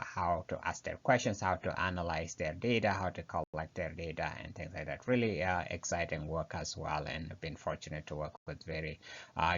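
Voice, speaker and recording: A man with an East African accent giving an informal slide presentation as a researcher, speaking haltingly with frequent hesitations and restarts. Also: rumbling low. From South Sudan, grew up in Ethiopia and Kenya. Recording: dull, muffled lo-fi audio.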